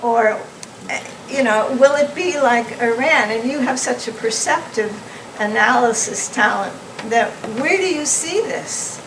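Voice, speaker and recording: A soft-voiced woman in the audience asking an earnest, measured question. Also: on the high side. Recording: echoey hall, distant mic, faint noise.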